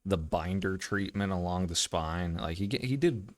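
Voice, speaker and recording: A man's voice: sits low, normal speed, no echo. A man hosting a casual reaction, commenting analytically and explaining what he notices.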